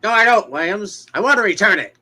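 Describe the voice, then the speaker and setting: A man's voice: high-pitched, expressive, fairly quick, sharp tone, full character voice. A man playing a hostile, suspicious small-town customer, curt and accusatory, in a dramatic comic-book reading. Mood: accusing.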